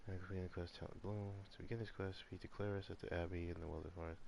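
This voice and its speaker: A man's voice: deep voice, little pitch movement, rapid-fire, gravelly, a little mellow, slight background noise. A man giving casual gameplay commentary, rambling and thinking aloud as he goes. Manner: rambling, thinking aloud, reading game text. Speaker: gamer on a live stream.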